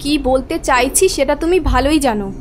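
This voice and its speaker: A woman playing a sharp-tongued, bossy, suspicious wife in an animated story, insistent and sarcastic. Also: sits high, brisk, slight background noise, natural voice. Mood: scolding, sarcastic.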